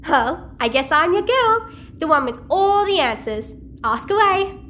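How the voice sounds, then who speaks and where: Chatty aussie teen girl in an audio drama, high bouncy voice, cheeky and nosy. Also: very animated, unhurried, sharp tone, a little hiss or hum, heavily acted. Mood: cheeky, confident. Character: chatty, nosy, quirky teenage girl hacker. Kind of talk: audio-fiction drama dialogue.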